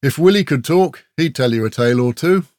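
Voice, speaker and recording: A man's voice: medium pitch, lively intonation, brisk, darkish tone, no room sound, clean studio sound, voice pushed up, natural voice. A man as a breezy, matey folk warrior. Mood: teasing. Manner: folksy, matey, talkative, with tag questions. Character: breezy, chatty male kinfolk warrior and teasing storyteller. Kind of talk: fantasy audiobook character dialogue.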